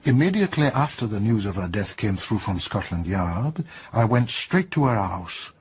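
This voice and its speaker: An actor playing a measured French detective in a radio drama, speaking formally and precisely with a French accent. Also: male voice, lowish voice, very animated, a little mellow, a little hiss or hum. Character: courteous, measured French police detective, adult man.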